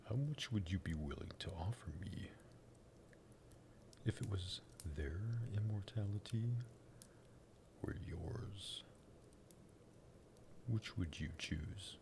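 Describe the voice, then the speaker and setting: Male actor as sadistic vampire master, slow drawl, gravelly creak, possessive, taunting, ASMR roleplay. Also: sits low, expressive, noisy background, exaggerated voice. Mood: teasing, taunting. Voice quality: breathy, gravelly whispering. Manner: slow, teasing, condescending drawl. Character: sadistic vampire master, possessive and menacing, toying with captive lover.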